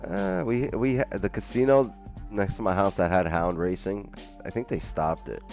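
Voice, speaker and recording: A man's voice: sits low, fairly even intonation, moderate pace, darkish tone, faint music behind. A man from Brooklyn, New York, hosting a live trading stream and rambling casually with viewers and callers, saying "like" a lot. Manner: rambling, chatty, says "like" often. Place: home, living in Miami.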